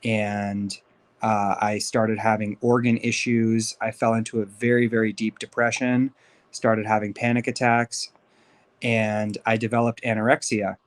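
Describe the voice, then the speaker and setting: A man's voice: lowish voice, level tone, a little slow. A man of thirty from Los Angeles, a life coach who is a podcast guest, telling his life story in an upbeat, candid way. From Los Angeles, USA. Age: 30s. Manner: upbeat, candid, talks freely with fillers. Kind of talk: casual interview storytelling.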